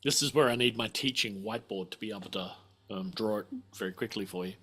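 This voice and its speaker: A man's voice: fairly low pitch, quick pace, pushed and bright, breathy edge. A man running a tabletop game as game master, explaining and thinking aloud as he improvises.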